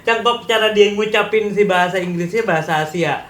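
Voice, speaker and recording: A man's voice: high-pitched, a little fast, fairly bright tone. A man in casual chat, reacting to music, polite and apologetic, often laughing as he talks. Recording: echoey room with faint noise.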